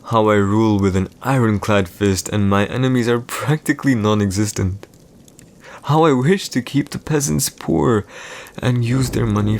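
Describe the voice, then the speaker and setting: Man playing a smug low-voiced villain emperor. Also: a little mellow, slight background noise. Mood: composed, bitter. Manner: smug, teasing, theatrical, formal phrasing. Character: arrogant villainous young male emperor, teasing and secretly wounded. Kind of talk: audio roleplay, intimate monologue to the listener.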